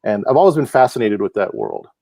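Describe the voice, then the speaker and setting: A man's voice: fairly low pitch, fast talker, gravelly, no room sound, clean studio sound. A middle-aged man from Hawaii, a guest talking easily in a casual interview, with lots of fillers and frequent laughs. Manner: relaxed, laughs often, many fillers. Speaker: guest, comic book artist. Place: home.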